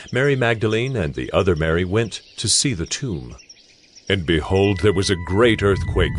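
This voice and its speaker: A man narrating scripture in a solemn, measured, dramatic scripted style. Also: deep voice.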